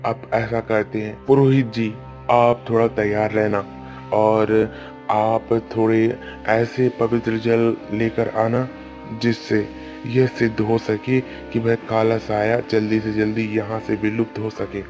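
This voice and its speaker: A man's voice: on the low side, little pitch movement, a little slow, music in the background, natural voice. A man voicing a commanding king in a cartoon folk tale, speaking in a formal, questioning, dramatic way. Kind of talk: cartoon story dialogue.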